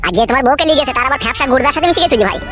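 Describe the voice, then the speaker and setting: Woman doing a mocking cartoon know-it-all guy, very rapid, high-pitched, preachy, music behind. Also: expressive. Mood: blunt, teasing. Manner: rambling, preachy, teasing. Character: blunt, cynical male friend, mocking cartoon know-it-all. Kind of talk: comic cartoon dialogue.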